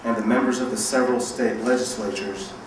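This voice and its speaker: A man's voice: mid-range voice, steady tone, brisk. A man giving a formal public reading of a legal document. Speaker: bar association officer reading aloud. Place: large reverberant room at a public event. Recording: very echoey hall, distant mic, clear noise.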